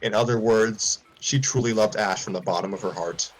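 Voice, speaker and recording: A man's voice: fairly low pitch, moderate pace, soft background music, rough recording. A man reading a fan story aloud, often stumbling and restarting lines.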